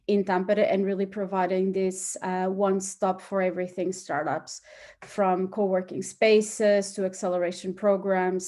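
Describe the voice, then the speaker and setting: A woman's voice: mid-range voice, warm tone. A woman from Portugal, answering conversationally as an interview guest. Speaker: interview guest, start-up hub operations chief.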